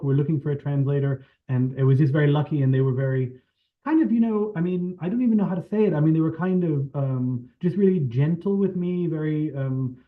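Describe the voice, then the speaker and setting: A man on a panel talking as a translator over a remote link, thoughtful and circling, with many fillers. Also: medium pitch. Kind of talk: panel talk on a video call. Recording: tinny phone-like audio.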